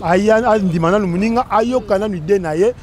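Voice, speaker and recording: Congolese guy giving his take on the street, pretty high voice. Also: fairly quick, a little hiss or hum. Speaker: street interviewee. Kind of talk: animated opinion in street interview. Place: outdoors, busy street by a shopfront.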